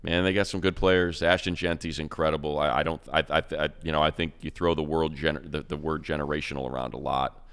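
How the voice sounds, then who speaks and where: A man with a very deep, smooth, clear voice talks football in a loose, casual way. He restarts sentences and trips over his words as he thinks aloud, in a relaxed way. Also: fast talker. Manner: rambling, many restarts and repeated words. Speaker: radio play-by-play announcer and podcast co-host. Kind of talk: casual sports chat.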